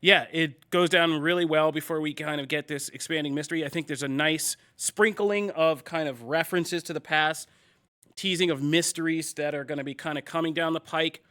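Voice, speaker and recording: A male podcast host with a bright, forceful voice and a clean, crisp tone. He talks energetically and casually, filling his sentences with "like" and "I mean" as he jokes around with his co-hosts. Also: on the high side, moderate pace. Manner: energetic, joking, lots of fillers like "like" and "I mean". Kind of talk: casual panel chat.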